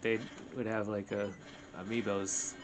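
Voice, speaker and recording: A man's voice: on the low side, level tone, unhurried, low-quality recording. A man playing a video game and commenting casually as he goes, co-hosting. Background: video game music.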